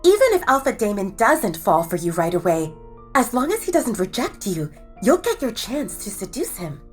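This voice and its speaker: A woman's voice: mid-range voice, very animated, normal speed, soft background music, lightly acted. A woman voicing a young female werewolf who eagerly talks up her friend's match, speaking with an eager, persuasive and teasing manner. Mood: coaxing. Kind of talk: audio drama acting.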